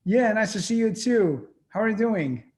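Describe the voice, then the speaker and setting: A young man from Syria hosts a casual interview. His voice is a little higher than most men's. He asks questions briskly and in a friendly way over a video call. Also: lively intonation, a little mellow. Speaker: interview host.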